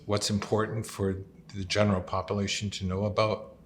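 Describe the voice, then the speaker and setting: A man speaking plainly and deliberately in an interview as a magazine photographer, with an earnest manner. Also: lowish voice, flat delivery.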